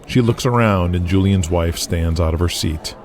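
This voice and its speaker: A man's voice: low voice, normal speed, a little mellow, slight background noise. A man narrating an audio drama in a scripted, present-tense storytelling style.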